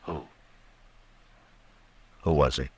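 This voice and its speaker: An actor playing a stern, weary US marshal in an old-time radio western, terse and grave. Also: male voice, rumbling low, fairly even intonation, very slow, a little mellow, husky, full character voice. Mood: grave, pressing. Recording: old radio drama with music.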